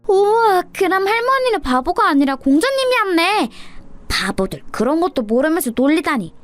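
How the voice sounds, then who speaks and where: An innocent little girl, pitched very high and full of childlike exclamation. She sounds proud and excitable, like a child in a radio story. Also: normal speed, no echo. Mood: relieved, proud.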